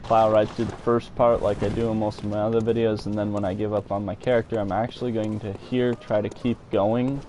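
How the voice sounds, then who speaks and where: A man with a fairly low voice gives casual gameplay commentary on a live stream. He rambles and thinks aloud in an offhand way. The recording is noisy, with clear background noise throughout. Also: level tone, a little fast, few pauses. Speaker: game streamer.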